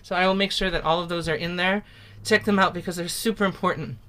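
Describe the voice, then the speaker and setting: A bright, forward-voiced woman talks through a singing lesson from her home on a computer mic. She chats loosely and warmly, full of "like" and "um", with a naturally loud voice that carries. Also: fairly low pitch, fairly even intonation, fast. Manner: chatty, upbeat, lots of "like" and "um". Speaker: singing workshop teacher. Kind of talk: casual online singing lesson. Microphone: computer mic on a video call.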